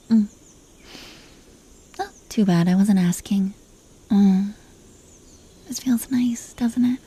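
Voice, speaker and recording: A woman's voice: mid-range voice, warm tone. A woman playing a possessive, teasing dragon queen in an intimate roleplay audio, commanding yet doting toward her husband. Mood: teasing, affectionate. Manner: playful, commanding, mock-sweet.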